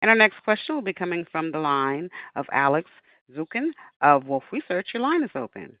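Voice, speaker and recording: A man makes formulaic operator announcements on a conference call. His voice is fairly high with very animated intonation. The sound is dry and dull. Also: fairly quick, no echo.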